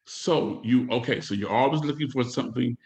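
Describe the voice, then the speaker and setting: A man's voice: mid-range voice, a little fast, a little mellow, low-quality recording. A man interviewing a guest, asking short questions and giving brief encouraging murmurs.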